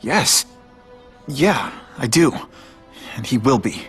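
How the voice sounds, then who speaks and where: A man's voice: medium pitch, expressive, slow pace. An actor playing an earnest young male superhero in a video game, guilt-ridden yet wisecracking. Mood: troubled, resolved. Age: young adult. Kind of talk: dramatic game voice acting. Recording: loud music behind.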